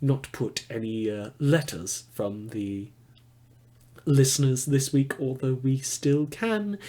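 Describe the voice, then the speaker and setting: A man's voice: medium pitch, slow pace, warm tone. A man hosting his own podcast, speaking casually to listeners in a rambling, self-correcting way. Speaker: podcast author and host.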